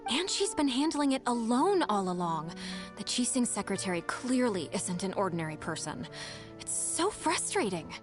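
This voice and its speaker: A woman's voice: fairly high pitch, sing-song, moderate pace, hardly any pauses. A woman voicing an overworked young female secretary in a game who sighs and complains wearily. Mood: weary. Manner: sighing, weary complaints. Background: game music.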